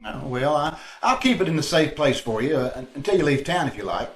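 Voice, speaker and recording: A man playing an easygoing, obliging sleuth in an old-time radio drama, speaking in an affable, slightly halting way. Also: fairly high pitch, animated, roomy sound.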